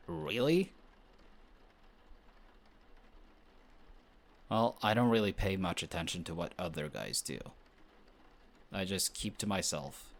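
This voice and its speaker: A man playing a flustered, defensive young best friend who is secretly smitten, in an intimate romantic audio roleplay. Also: fairly low pitch. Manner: defensive, bickering, halting when shy.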